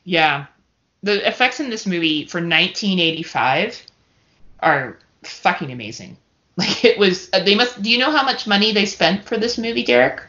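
Lady on a podcast, lowish punchy bright voice, candid and sweary, just chatting. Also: lively intonation, moderate pace. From Canada. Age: 30s or older. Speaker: podcast guest. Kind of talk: casual chat. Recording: dull, lo-fi podcast audio.

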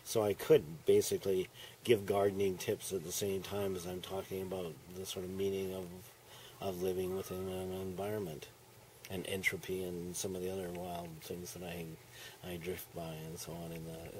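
A man's voice: sits low, completely flat delivery, normal speed, darkish tone, a little hiss or hum, poor audio. Rambling older man on a farm patio. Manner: rambling, trailing off, frequent "right?". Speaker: author. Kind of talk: relaxed interview chat. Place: outdoor farm patio in summer.

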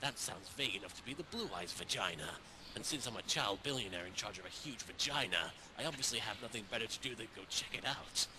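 A man's voice: lowish voice, animated, fast, natural voice. A man voicing an arrogant young male billionaire card duelist, smug and dismissive. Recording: noisy fan-made video audio.

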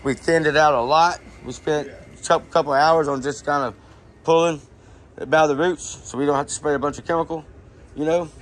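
A man's voice: fairly high pitch, steady tone, a little fast. A man, explaining a yard job step by step in a casual, coaching way. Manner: coaching, talks things through step by step. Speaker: landscaper, vlogger. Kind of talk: instructive casual chat. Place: outdoors, in a yard.

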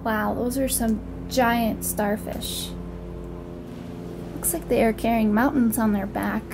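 High-pitched young woman with creaky voice. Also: steady tone, slow. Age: young adult. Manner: simple, questioning, wondering, as if to young children. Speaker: read-aloud storyteller. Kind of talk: picture book read-aloud, narrating pictures and asking questions. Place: small room at home, indoors. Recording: noticeable background noise, home recording.

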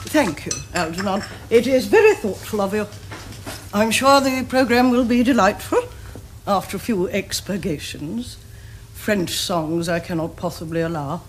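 A woman's voice: mid-range voice, natural voice. A middle-aged woman with an upper-class English accent playing a haughty, imperious Victorian aunt in a period comedy, delivering stately pronouncements. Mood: lofty. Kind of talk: period stage comedy acting. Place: furnished drawing room set. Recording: noisy old television soundtrack.

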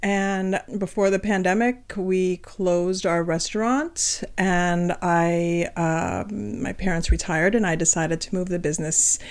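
A woman's voice: on the low side, fairly even intonation, slow, hardly any pauses. A middle-aged woman from France, a restaurant owner answering interview questions in a casual, hesitant way with many ums.

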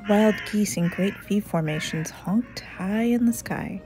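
A woman reading a children's picture book aloud in a deliberate, animated storytelling manner. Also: mid-range voice, music in the background. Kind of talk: expressive read-aloud narration.

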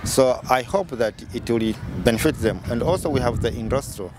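A man's voice: mid-range voice, a little fast, background noise. A man from Tanzania, a university instructor, explaining his project carefully and formally outdoors. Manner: careful, formal, repeats words. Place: outdoors on a sunny campus. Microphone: interviewer's handheld recorder.

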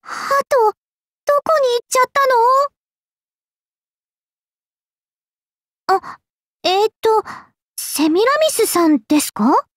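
A woman's voice: extremely high pitch, big pitch swings, measured pace, dry recording, clean studio sound, exaggerated voice. A woman voicing a sweet, clumsy young woman in an anime game, polite, earnest and a little flustered. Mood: bright, flustered. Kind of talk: anime game character voice acting.

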